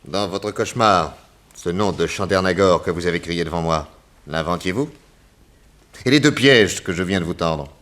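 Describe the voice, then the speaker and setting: A man's voice: deep voice, moderate pace, fairly bright tone. A man playing a confident detective in a 1960s French radio drama, speaking in a theatrical, emphatic, methodical way. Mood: accusing. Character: confident male detective laying out an accusation.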